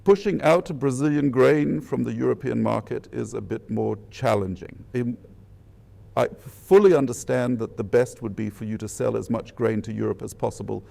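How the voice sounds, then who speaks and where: A man with a Polish accent, speaking deliberately, phrase by phrase, in a measured diplomatic phone conversation. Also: mid-range voice, gravelly, dark tone. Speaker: government minister.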